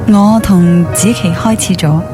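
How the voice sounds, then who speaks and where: An actress playing a pampered, anxious young daughter in a radio drama, emotive. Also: female voice, lowish voice, a little slow, close to an everyday voice. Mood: coy, calm. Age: young adult. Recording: studio radio drama with music.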